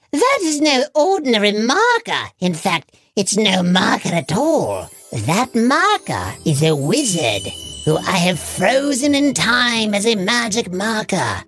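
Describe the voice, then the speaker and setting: A woman voicing a cackling, scheming female witch in a children's bedtime story, drawn-out and theatrical. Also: medium pitch, husky, dry recording, voice pushed up, heavily acted. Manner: drawn-out, sing-song and theatrical.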